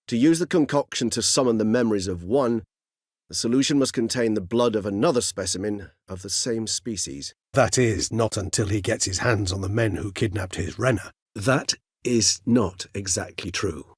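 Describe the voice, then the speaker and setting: A man's voice: lowish voice, normal speed, husky, no room sound, clean studio sound. A male actor playing a courteous, erudite and melancholy ancient vampire in a video game, speaking formally and eloquently with a wry, philosophical turn. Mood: sincere, thoughtful. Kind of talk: video game character dialogue.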